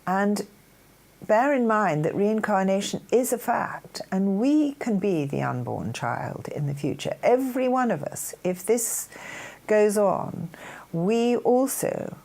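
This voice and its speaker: A woman's voice: mid-range voice, expressive, slow, creaky, darkish tone. An older British woman giving an earnest spiritual talk, reading dictated messages aloud in a formal manner.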